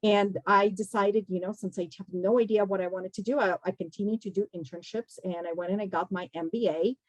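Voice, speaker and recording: A woman from Kazakhstan speaks as a guest professional to students. Her delivery is conversational and explanatory, addressed straight to the listeners. Her pitch is average for a woman, and the sound is dry. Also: fairly even intonation, a little fast, no echo, poor audio. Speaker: guest speaker, recruiting executive. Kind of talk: career talk to students.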